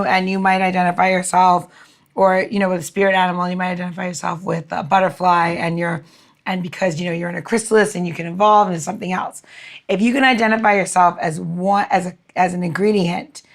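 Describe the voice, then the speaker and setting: A woman co-hosting a casual interview, rambling informally and circling around her questions. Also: on the low side. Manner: rambling, lots of "like" and "you know". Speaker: podcast co-host.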